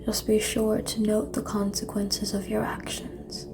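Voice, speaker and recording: Woman doing a sultry ancient vampire lady for asmr, slow n whispery, low voice, teasing, kinda menacing. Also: monotone. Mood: teasing, inviting. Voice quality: breathy, whispery. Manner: slow, teasing, formal old-fashioned phrasing. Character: seductive, ancient female vampire, amused and quietly menacing. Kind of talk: ASMR audio roleplay.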